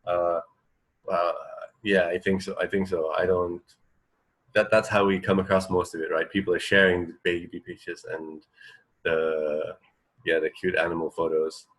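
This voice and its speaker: A man with a low-pitched voice chatting casually as a radio co-host. He feels his way through sentences, repeating words and checking in with small questions. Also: flat delivery, normal speed, fairly bright tone. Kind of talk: casual chat.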